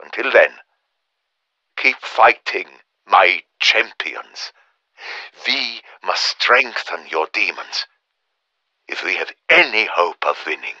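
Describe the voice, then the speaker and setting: A man's voice: sits low, lively intonation, slow pace, pauses often, sharp tone, no room sound, muffled recording, full character voice. A man playing an earnest, erudite middle-aged professor of demonology in a video game, speaking formally and precisely with theatrical delivery. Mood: rallying, then scholarly, ominous. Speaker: video game character, scholar adviser. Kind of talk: dramatic game voice acting, radio briefing monologue.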